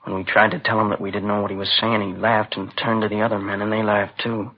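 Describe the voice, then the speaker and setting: An actor playing a shaken, exhausted young student witness in a 1950s radio drama, halting and subdued. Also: male voice, fairly low pitch, little pitch movement, close to an everyday voice. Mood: shaken, recounting. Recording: dull old radio recording, faint noise.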